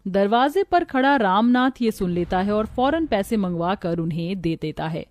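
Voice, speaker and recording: A woman's voice: mid-range voice, lively intonation, normal speed, a little mellow. A woman narrating a scripted moral story as a storyteller.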